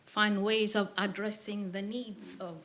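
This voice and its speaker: A woman's voice: mid-range voice, level tone, a little slow, a bit bright. Woman speaking up from audience. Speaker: audience member.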